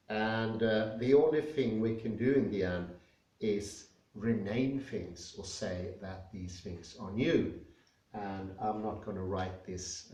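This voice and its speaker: A man with a Swedish accent giving a spoken lecture, thoughtful and pausing often with fillers. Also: on the low side, a little mellow. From Sweden. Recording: echoey room, mic at a distance.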